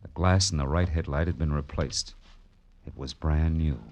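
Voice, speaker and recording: A man's voice: extremely low pitch, fairly even intonation, normal speed, muffled recording, slight background noise. An actor playing a hardboiled, wry male private detective who narrates an old-time radio drama in terse, slangy phrases. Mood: narrating, intent. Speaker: lead and narrator. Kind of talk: 1940s-style radio detective narration and dialogue.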